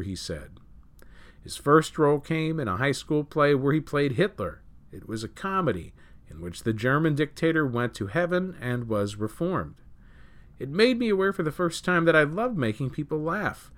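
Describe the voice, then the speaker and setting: A man reads a scripted article as a news program host, in a mid-pitched voice that moves up and down a great deal. His delivery is measured and story-like, leaning firmly on the openings of phrases. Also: a bit bright. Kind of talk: scripted narration, reading a written article aloud.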